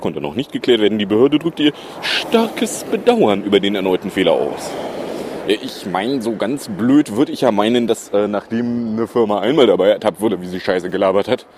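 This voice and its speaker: A man hosts his own podcast, reading news items aloud and then wandering off into sarcastic commentary full of fillers. His pitch swings widely, and there is a faint hiss under the recording. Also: a little mellow. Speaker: podcast host. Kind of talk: casual solo news podcast.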